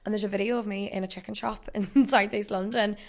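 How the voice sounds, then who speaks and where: A young Northern Irish woman with a slightly hoarse voice chats easily as a guest in a studio. She tells her stories casually and laughs as she goes, at an everyday middle pitch. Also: steady tone, fast talker. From Northern Ireland. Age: 20s. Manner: chatty, laughing storyteller. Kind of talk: casual chat.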